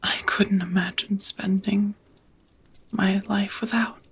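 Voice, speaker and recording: Casting: actress as ancient female vampire, tender and hesitant, for romantic ASMR roleplay; very husky whisper, unhurried intimate delivery. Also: medium pitch, fairly even intonation, a bit bright, heavily acted. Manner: slow, hesitant, intimate, slightly old-fashioned phrasing.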